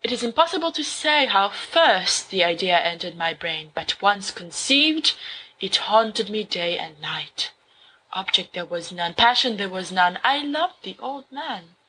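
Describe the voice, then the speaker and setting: A woman voicing a young male wizard student who reads a horror story aloud to his friends, slow and hushed at times. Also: medium pitch, expressive, a little hiss or hum, rough recording. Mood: dramatic. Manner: slow, hushed dramatic reading. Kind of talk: audiobook fan fiction reading.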